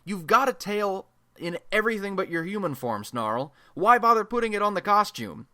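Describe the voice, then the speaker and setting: A man's voice: high-pitched, expressive, moderate pace, pushed and bright, dry recording, clean studio sound, full character voice. A man playing a chatty, self-deprecating young American amateur radio blogcaster in a superhero radio play, talking in an informal, wisecracking podcast style. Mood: incredulous teasing. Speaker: radio show host.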